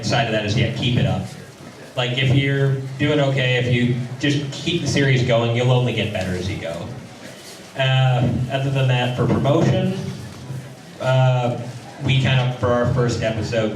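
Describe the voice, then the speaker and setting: A man speaking casually and haltingly as a panelist giving advice at a convention. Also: mid-range voice. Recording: echoey, distant, noisy panel room.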